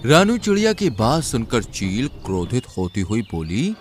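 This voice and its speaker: A man's voice: mid-range voice, wildly swooping pitch, normal speed, a little hiss or hum. A man narrating a scripted children's cartoon story.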